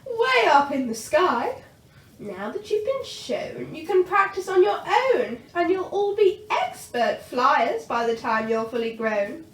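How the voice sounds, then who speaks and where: A woman voicing a grand, commanding female dragon schoolteacher from a storybook, with drawn-out, theatrical delivery. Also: high voice, big pitch swings, a bit bright, pitched above the performer's own voice, exaggerated voice. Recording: roomy, distant mic, faint noise.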